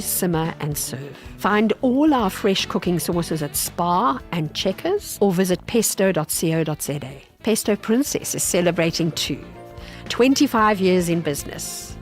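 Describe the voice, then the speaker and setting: Slightly low woman reading an ad. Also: darkish tone. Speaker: company founder voicing an ad. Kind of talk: scripted radio ad.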